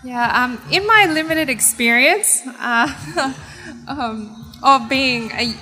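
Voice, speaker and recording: A young Australian woman, a religious sister, speaks in a bright, higher voice in a hall with long reverb and faint music behind. She is friendly and laughs between sentences. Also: slow pace. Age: young adult. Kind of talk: casual guest talk on stage.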